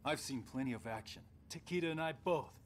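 An actor playing a cocky, challenging young monk fighter with a brash, sardonic manner in a video game. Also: male voice, medium pitch, lively intonation, a little fast, slight background noise, lightly acted. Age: young adult. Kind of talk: video game cutscene acting.

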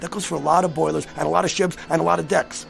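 An American actor playing an agitated, cynical man who insists he has seen disaster coming, speaking in a blunt, slangy, pleading way with a gravelly voice. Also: fairly high pitch, flat delivery, rapid-fire, soft background music. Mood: urgent, grave. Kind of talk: dramatic screen acting.

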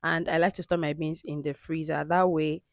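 A woman's voice: deep voice, little pitch movement, normal speed, no echo, clean studio sound. A woman giving a friendly, instructive cooking voice-over that speaks directly to viewers. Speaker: cooking vlogger. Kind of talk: explanatory voice-over.

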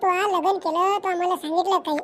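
Man as a shrill, nagging cartoon wife. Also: steady tone, quick pace, a bit bright, slight background noise. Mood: accusing. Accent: rural Maharashtrian Marathi. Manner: nagging, repetitive. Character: jealous, quarrelsome cartoon wife, loudly accusing. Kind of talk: cartoon comedy dialogue.

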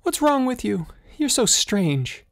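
Man voicing a distraught, high-pitched moaning mother. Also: big pitch swings, takes it slowly, vocal fry, exaggerated voice. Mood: anxious, upset. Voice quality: moaning, voice breaking.

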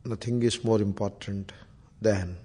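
A man with an Indian accent leading a guided meditation as a spiritual teacher, speaking deliberately in short phrases with Sanskrit terms and chants. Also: on the low side, steady tone, dark tone. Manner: slow, deliberate, long pauses between short phrases.

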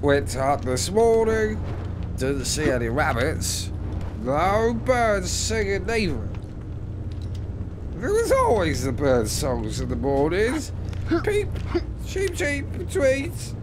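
An actor playing a drunken, mournful village hunter in a video game, slurring and rambling haltingly. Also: male voice, sits high, huge pitch swings, background noise, heavily acted. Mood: rambling, wistful. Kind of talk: video game character acting.

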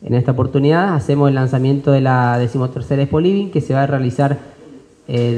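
A man's voice: medium pitch, warm tone. A man, a business owner speaking at a press conference with many fillers and restarts. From Argentina. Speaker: business owner presenting an expo. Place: indoor conference room. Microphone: desk mic on stand.

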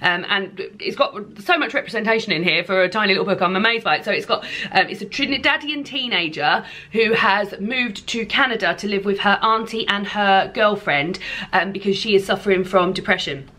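A young woman with a British accent talks casually, as if to her viewers. Her voice is bright and forward at a medium pitch. She rambles in a gushing, emphatic way, in the style of an informal vlog. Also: a little fast. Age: young adult. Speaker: book vlogger. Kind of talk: casual chat to camera. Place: indoor room lined with bookshelves.

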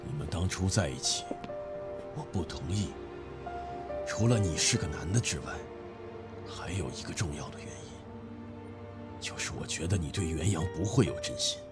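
Stern patriarch for radio play, male actor: very low, deliberate, weighty, softening underneath, prominent music bed. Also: animated. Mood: stern, relenting. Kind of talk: Chinese audio drama acting. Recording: background music under the dialogue.

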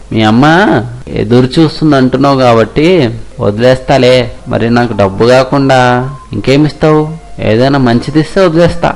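Stubborn young fisherman, medium pitch man acting. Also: a little mellow, lightly acted. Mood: insistent. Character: hardworking, stubborn but kind young fisherman. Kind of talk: animated moral story voice acting.

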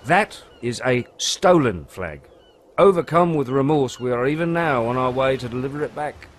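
An actor voicing a cocky, sly pirate rogue in a video game, glib and drawling, with mock-grand storytelling. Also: male voice, medium pitch, expressive, normal speed, a little hiss or hum, natural voice. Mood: wry. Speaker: narrator and lead character. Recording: music under the voice.

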